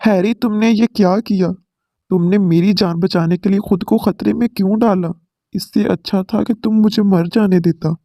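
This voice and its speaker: A man's voice: sits high, fairly even intonation, normal speed, warm tone, no room sound, clean studio sound. A man voicing a loyal, protective young college man who stands by his friend in a cartoon moral story. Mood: distressed.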